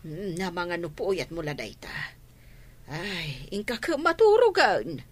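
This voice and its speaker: A woman's voice: lowish voice, sing-song, slow pace, full character voice. A woman with an Ilocano accent playing a worried, exasperated middle-aged mother of a grown son in a radio drama. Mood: concerned.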